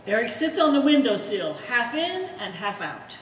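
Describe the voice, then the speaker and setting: A woman's voice: fairly high pitch, big pitch swings, moderate pace, a bit bright, far from the mic. A woman reading stage directions as scripted narration at a live play reading. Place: art gallery performance space. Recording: echoey hall from afar, faint noise.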